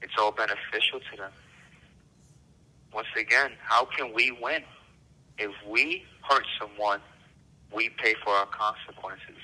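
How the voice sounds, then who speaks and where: A man's voice: fairly low pitch, unhurried, pauses often, bright, forceful tone, slightly rough. A man on a prison phone line, giving blunt, aggrieved testimony as an inmate in short, emphatic, repeated phrases. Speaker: prison inmate being interviewed. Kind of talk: casual, blunt personal testimony. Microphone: telephone.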